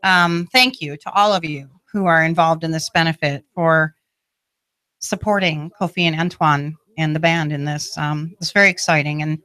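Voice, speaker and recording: A woman's voice: low-pitched, steady tone, normal speed, bright, forceful tone, no room sound. A woman hosting a radio interview who talks up local events with enthusiasm. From not from the area where she hosts. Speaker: radio host. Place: radio studio.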